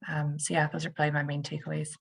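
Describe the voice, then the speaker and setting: A woman, a venture investor on a panel, answering at length in a measured way with frequent fillers. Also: low-pitched, completely flat delivery, vocal fry, a little hiss or hum. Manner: measured, thinking aloud with many fillers.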